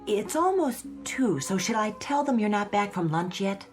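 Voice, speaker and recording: An actress playing a dutiful, literal-minded American office secretary in a radio drama, matter-of-fact and helpful. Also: female voice, mid-range voice, expressive, moderate pace, a little mellow, faint music behind, natural voice. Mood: dutiful, puzzled. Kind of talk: modern radio drama acting.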